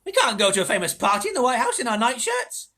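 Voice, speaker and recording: A man voicing a shrill, fretful old grandmother in a storybook reading, crying out in a high, wailing voice. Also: wildly swooping pitch, moderate pace, fairly bright tone, full character voice. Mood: alarmed. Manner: wailing, high-pitched cries.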